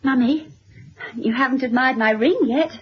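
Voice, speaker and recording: Actress as young society girl, emphatic, gushing, highish voice, dull vintage radio recording. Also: moderate pace, a little hiss or hum, natural voice. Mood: bright, eager. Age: young adult. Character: gushing, defiant upper-class young daughter, newly engaged. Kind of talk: 1970s radio drama acting.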